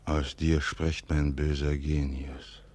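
An actor playing a stern, calculating older duke in a classical radio play, with measured, formal stage diction. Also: male voice, a bass voice, little pitch movement, darkish tone. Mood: brooding, hesitant. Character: stern, calculating older duke, commanding father and military ruler.

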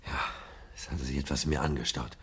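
An actor playing a desperate, guilt-ridden man on the run in a radio drama, speaking in an agitated, halting mutter. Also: rumbling low, steady tone, a bit bright, breathy edge, exaggerated voice. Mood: subdued, confessing. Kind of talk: 1970 German radio drama acting. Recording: noisy radio drama, vehicle sound behind.

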